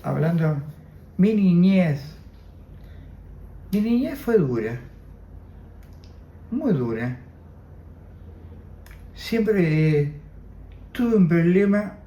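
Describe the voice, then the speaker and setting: A man's voice: high-pitched, big pitch swings, very slow, pauses often, dark tone, reverberant, muffled recording. A man in his seventies with an Argentine Spanish accent, Armenian as his first language, giving an oral history testimony, reflective and repeating his phrases. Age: 70s. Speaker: interviewee.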